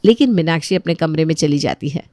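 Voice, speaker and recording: A woman's voice: low voice, animated, fast talker, warm tone, dry recording, studio quality. A woman narrating a scripted family story as its storyteller.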